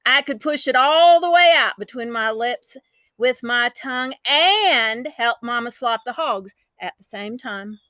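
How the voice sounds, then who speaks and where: A woman acts out a spirited, boastful country child narrating a storybook. The voice is fairly high and bright, with folksy, dramatic emphasis, and it sounds dry and close. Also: expressive, a little slow, no echo. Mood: chatty, eager.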